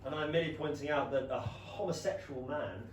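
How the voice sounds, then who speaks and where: A man playing a high-minded young Regency gentleman in a stage comedy, formal, measured and dryly earnest. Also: very noisy, close to an everyday voice. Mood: formal, reserved. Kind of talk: staged reading of a period comedy play. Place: small theatre stage, captured from a distance. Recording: very echoey, distant theatre stage recording.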